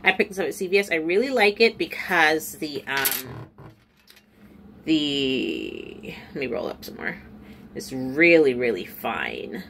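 A woman in her forties, a beauty vlogger talking to camera at home, chatty and a little stuffy and nasal, dropping into low asides. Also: big pitch swings, unhurried, creaky, a bit bright, a little hiss or hum. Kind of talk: casual chat to camera. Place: small room at home, indoors. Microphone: camera in front at close range.

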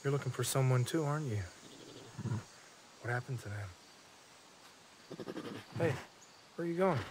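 An actor playing a wary lone survivor in a video game cutscene, talking to an animal and muttering to himself. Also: male voice, medium pitch, lively intonation, normal speed, background noise, close to an everyday voice. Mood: soothing, cautious.

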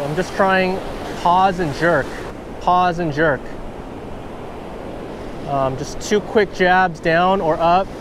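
A man vlogging while surf fishing, talking casually and excitedly through his technique, recorded on a wearable camera on a beach. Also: a little high. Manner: excited, bursts of stoked exclamations. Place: outdoors on an ocean beach, wading in the surf. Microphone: wearable action camera, first-person view. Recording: faint ocean surf noise.

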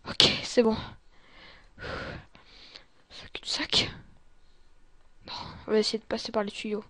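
A woman with a fairly high voice plays a survival game in character. She talks to herself slowly, in short muttered bursts that slip between whispers and exclamations. The sound is very dry, with no room echo. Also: flat delivery, pushed and bright. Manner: muttering, often dropping into breathy whispers, with exclamations. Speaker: gamer playing a role-play survival series. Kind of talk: in-character gameplay commentary, muttering to self.

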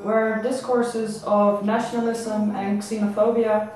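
A young woman from the Netherlands speaking deliberately and seriously to camera. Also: mid-range voice, little pitch movement, a little mellow, a little hiss or hum. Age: young adult. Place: indoor room. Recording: echoey room, mic at a distance.

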